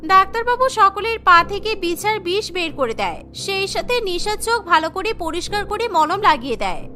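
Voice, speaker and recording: Female narrator for cartoon storytelling, scripted read, very high pitch, bright tone. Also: sing-song, brisk, faint music behind.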